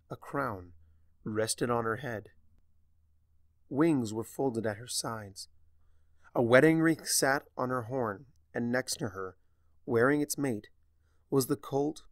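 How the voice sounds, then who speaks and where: A man reads a fantasy story aloud as its narrator. His delivery is slow and dramatic, with deliberate phrasing and a medium pitch. The recording is dry and close. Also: dry recording.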